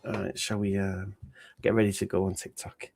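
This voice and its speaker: A man's voice: sits low, moderate pace, gravelly, dry recording. A man hosting a casual live stream, reading out viewer questions and answering them bluntly. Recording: steady background noise.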